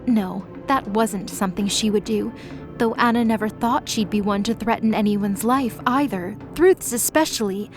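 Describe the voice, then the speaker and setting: A woman's voice: medium pitch, moderate pace, music in the background. A woman narrating scripted dramatic audio fiction, reading as a storyteller.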